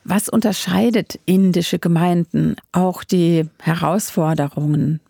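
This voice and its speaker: A woman who interviews her guest with clear, composed questions. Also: on the low side, steady tone, unhurried, dark tone, no echo, studio quality.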